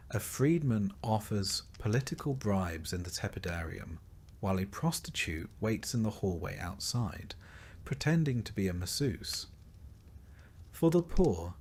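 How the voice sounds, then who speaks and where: A man reading a scripted history sleep story in a measured, descriptive storytelling manner. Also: fairly low pitch. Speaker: narrator.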